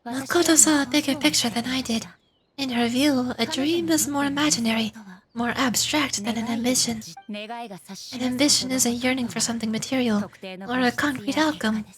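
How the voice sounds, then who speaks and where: Casting: woman as stately game ruler, high voice, calm, formal, thoughtful read; clear chatter behind. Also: close to an everyday voice, rough recording. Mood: solemn, thoughtful. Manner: formal, measured, occasional misreadings. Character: calm, dignified female ruler, grave and reflective. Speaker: VTuber streamer. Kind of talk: reading game dialogue aloud.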